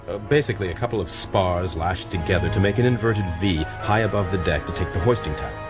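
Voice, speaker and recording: An actor playing an educated, determined castaway in a radio drama, earnest and articulate. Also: male voice, deep voice, quick pace, lightly acted. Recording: sea and boat noise, music behind.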